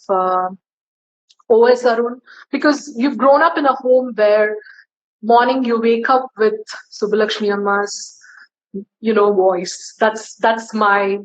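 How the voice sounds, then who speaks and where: A woman singer giving casual tips in an interview, chatty and friendly, with many fillers. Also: muffled recording. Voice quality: low, bass-leaning voice for a woman.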